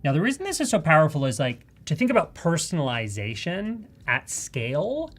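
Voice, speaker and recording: A woman's voice: low-pitched, very animated, fairly quick. Chatty, enthusiastic Canadian woman presenting. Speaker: presenter of a live software tutorial. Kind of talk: live demonstration, casual and conversational.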